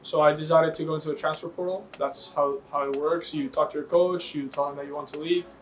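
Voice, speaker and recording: A man's voice: on the high side, fairly even intonation, quick pace, a little hiss or hum. A man in his early twenties from Venezuela with a Venezuelan Spanish accent, Spanish his first language, explaining things fluently with "you know" fillers in a documentary interview. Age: early 20s. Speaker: university soccer player.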